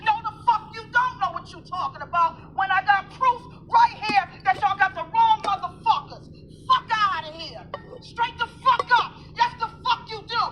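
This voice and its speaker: A woman's voice: extremely high pitch, moderate pace, a bit bright, faint music behind, rough recording. A woman in a furious, profane rant, berating a clerk with nonstop swearing. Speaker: angry customer.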